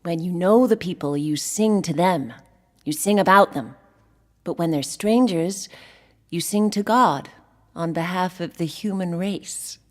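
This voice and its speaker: Casting: woman as gentle, devout singer for radio play. Lower voice, earnest, sincere, long reverb. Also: a little slow, natural voice. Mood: earnest, reverent. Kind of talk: naturalistic audio drama acting. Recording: reverberant hall-like church acoustic.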